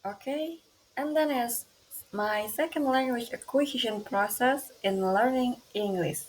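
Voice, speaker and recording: A young woman with an Indonesian accent, reading her class presentation aloud slowly and carefully, with small grammar slips. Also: medium pitch, slight background noise, poor audio. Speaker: student presenter.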